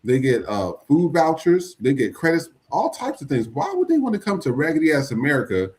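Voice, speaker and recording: Male podcast host, blunt and profane, animated delivery. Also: on the high side, a little fast. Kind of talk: casual commentary.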